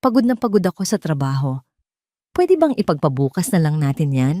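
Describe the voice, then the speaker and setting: A woman's voice: sits low, big pitch swings, brisk, mellow, no echo, clean studio sound. A woman with a Filipino accent voicing a tired, irritated businessman husband in an audio drama, lifeless and dismissive. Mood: weary, dismissive. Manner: lifeless, dismissive, mixes Tagalog with English.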